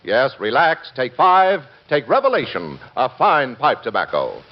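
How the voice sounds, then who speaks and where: A man's voice: medium pitch, huge pitch swings, unhurried, noisy background. A man announcing on 1940s radio in a formal, emphatic manner. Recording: dull vintage radio broadcast with music.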